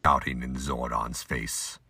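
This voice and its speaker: A man's voice: rumbling low, level tone, slow, vocal fry, background noise. A man delivering scripted, emphatic narration for an action audio drama.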